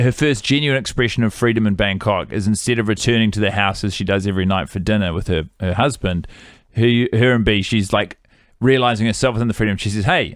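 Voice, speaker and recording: A man's voice: on the low side, dry recording, studio quality. A man, co-hosting a casual film-review podcast and rambling with fillers and restarts. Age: 30s. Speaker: podcast co-host.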